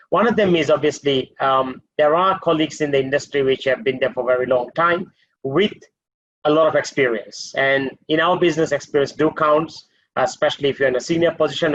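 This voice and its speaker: A man who is a regional hotel director, giving a measured webinar talk over a video call with many fillers and restarts. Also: on the high side, no echo. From Maldives. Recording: muffled video call audio.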